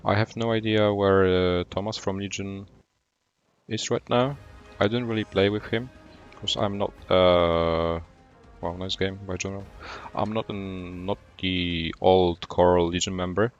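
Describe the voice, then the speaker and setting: Low-voiced Polish man streaming a game. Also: a little slow, muffled recording, soft background music. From Poland. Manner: relaxed, thinking aloud with fillers. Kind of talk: gameplay commentary and chat replies.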